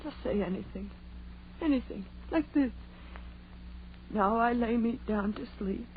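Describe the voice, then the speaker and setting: Through a noisy, narrow old radio recording comes an actress as a frightened young woman in a horror play. Her high voice is anxious and imploring, repeating herself as she pleads. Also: a little slow, close to an everyday voice. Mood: fearful praying.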